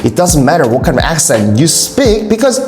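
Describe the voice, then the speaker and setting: Korean lady teaching english online, korean accent, low voice, super emphatic, bit of room echo. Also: big pitch swings, moderate pace, darkish tone. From South Korea. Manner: emphatic, repeats key points, addresses viewers directly. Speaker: English teacher and video host. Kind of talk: opinionated English-learning video monologue. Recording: roomy echo, faint music.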